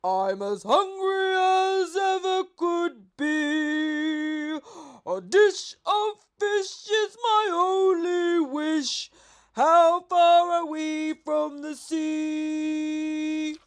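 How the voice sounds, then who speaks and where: A woman voicing a hungry, exuberant male pelican in a children's storybook, with loud, drawn-out theatrical delivery. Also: high voice, voice pushed up. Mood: longing, hungry.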